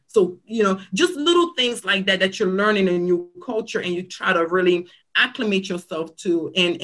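A woman's voice: medium pitch, a bit bright. Cameroonian woman telling her story. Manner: reflective, earnest storytelling. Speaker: nurse practitioner guest. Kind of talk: conversational interview.

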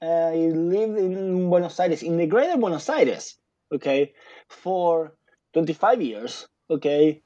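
A man's voice: fairly high pitch, animated, slow, mellow, no echo, muffled recording. A man from Argentina, a guest in a casual interview, talking in a rambling way with many fillers and restarts. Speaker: guest author, sociologist.

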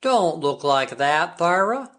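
Kindly older man, unhurried and gentle, read by a woman narrator. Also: lowish voice, big pitch swings, full character voice. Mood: pitying. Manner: gentle, pitying. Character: kindly older man, old family friend.

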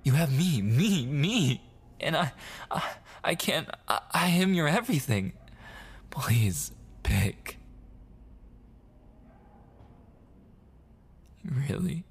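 A man playing a possessive, obsessively jealous boyfriend in an intimate ASMR audio roleplay, pleading and menacing in halting, soft speech that slips into whispers. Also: a little high, sing-song, exaggerated voice. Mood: desperate, menacing. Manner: pleading, halting and repetitive, slipping into breathy whispers.

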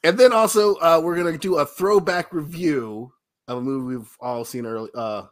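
A man's voice: on the high side, normal speed. A man hosting a casual comedy podcast, joking and poking fun at himself in a playful way. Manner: joking, self-deprecating, playful. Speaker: podcast host.

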